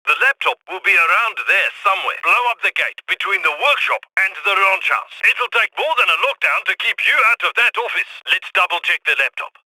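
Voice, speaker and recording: Heist handler, commanding, dry wit, high voice, close and dry. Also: male voice, lively intonation, brisk, few pauses, bright, forceful tone, dry recording, heavily acted, poor audio. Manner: crisp commanding instructions, dry asides. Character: brisk tech-savvy male heist handler. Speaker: heist mastermind giving orders. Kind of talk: video game voice lines.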